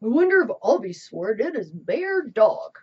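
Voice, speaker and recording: A woman's voice: fairly high pitch, sing-song, moderate pace, husky, lo-fi sound, full character voice. A woman voicing an eager, boastful pet dog in a children's storybook. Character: eager pet dog dreaming of being mayor.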